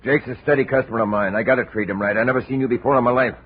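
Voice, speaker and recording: An American man playing a cagey, protesting barman with rough, slangy talk in a 1950s radio drama. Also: medium pitch, fairly even intonation, very fast, close to an everyday voice. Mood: evasive, defensive. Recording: tinny, noisy old radio recording.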